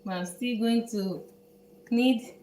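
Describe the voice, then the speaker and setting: A Dutch woman with a Dutch accent hosts a live cooking lesson at a very slow, unhurried pace, her voice sitting a touch high for a woman. She talks in halting bits and repeats words while she works. The home kitchen sounds roomy and echoey under a faint hiss. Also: mellow. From Netherlands. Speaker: home cook host.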